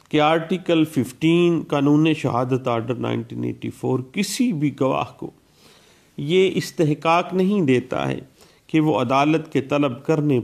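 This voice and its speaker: A man's voice: on the high side, gravelly. A man giving a law lecture, explaining legal rules step by step and mixing in English legal terms. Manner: explanatory, repeats statute citations, Urdu legal terms with English words.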